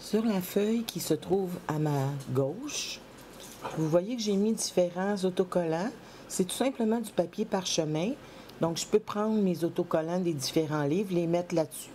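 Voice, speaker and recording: A woman from Quebec with a head cold, chatting casually while decorating a planner, halting with many fillers. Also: on the low side, mellow, a little hiss or hum. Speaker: planner vlogger. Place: home craft table, indoors.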